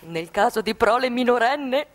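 A woman's voice: fairly high pitch, expressive, moderate pace, lightly acted. An actress playing a gleeful, zealous saleswoman with gushing, theatrical patter in a satirical radio play. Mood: persuasive. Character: gleeful, zealous saleswoman of armored panic rooms.

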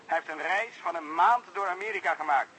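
An eager junior cop phoning in a report in a radio drama. He talks quickly in a sharp, bright voice, matter-of-fact, over a slightly noisy telephone line. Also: high voice. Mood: dutiful reporting. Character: eager, plain-spoken junior policeman reporting to his inspector. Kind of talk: radio play acting.

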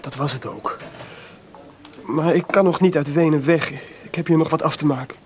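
An actor playing a bitter, boastful, maudlin drunken composer in a Dutch radio drama, with a theatrical, volatile, rambling delivery. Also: male voice, a little high, normal speed, darkish tone, a little hiss or hum, natural voice. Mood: bitter, defiant.

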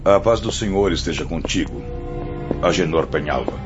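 Kind fatherly brazilian spirit guide, real low voice, patient, radio drama w music. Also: expressive, moderate pace. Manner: kindly, patient. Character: gentle, fatherly male spirit counselor. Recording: dull lo-fi audio under music. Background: background music.

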